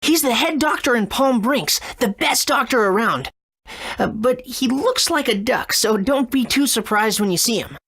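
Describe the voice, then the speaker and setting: A woman's voice: a little high, animated, moderate pace, fairly bright tone, husky, exaggerated voice. A woman voicing an earnest, eager young boy hero in a video game. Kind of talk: video game character acting and narration.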